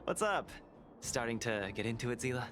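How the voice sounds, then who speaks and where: A man's voice: mid-range voice, lively intonation, brisk, a bit bright, soft background music, lightly acted. A man playing an easygoing, friendly young male fighter in a video game, speaking in a casual, encouraging way. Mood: relaxed, teasing.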